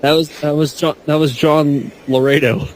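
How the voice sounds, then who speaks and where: A man's voice: fairly high pitch, level tone, normal speed, background noise. A man on a podcast panel bantering casually, swearing and riffing jokingly. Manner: jokey, swearing, riffing with mock voices. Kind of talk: joking casual group chat.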